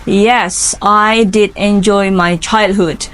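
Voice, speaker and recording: A woman in her twenties with an Indonesian accent answering interview questions for an English speaking assignment, earnest and careful, pausing with fillers. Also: mid-range voice, fairly even intonation, rough recording.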